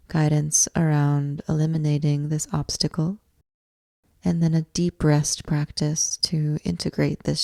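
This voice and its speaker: A woman teaching yoga nidra, guiding a meditation in measured, deliberate phrases with long pauses between them. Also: deep voice, gravelly, dark tone, no room sound. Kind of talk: guided meditation, slow instructional narration.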